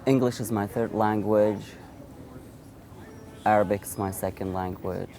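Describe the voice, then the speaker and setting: A man in his thirties from Iraq, an Assyrian speaker, telling his own story in an interview in a halting way, with frequent restarts. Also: on the low side, steady tone, warm tone. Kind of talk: personal storytelling.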